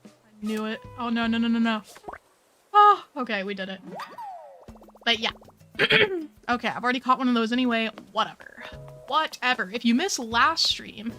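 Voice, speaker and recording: A woman's voice: fairly high pitch, lively intonation, moderate pace, pauses often, sharp tone, faint music behind. Bubbly young woman streamer. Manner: chatty, bubbly, says "like" a lot. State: slightly losing her voice. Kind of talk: casual game stream chat.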